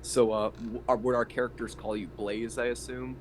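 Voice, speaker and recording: A man's voice: medium pitch, level tone, normal speed. A man in casual conversation as a tabletop game player, explaining options to the group. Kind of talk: casual chat and rules explanation. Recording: faint background noise on online call.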